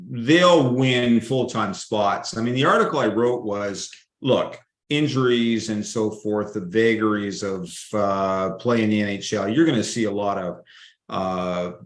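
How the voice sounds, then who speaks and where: A man, a guest writer on a casual podcast roundtable, talking in a folksy way and reaching for old sayings. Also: fairly low pitch. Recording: roomy echo.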